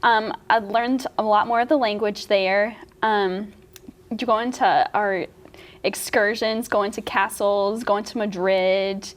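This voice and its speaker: A woman's voice: medium pitch, fairly even intonation, slow pace. A young woman student speaking enthusiastically in an interview, gushing with praise. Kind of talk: interview answers. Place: studio.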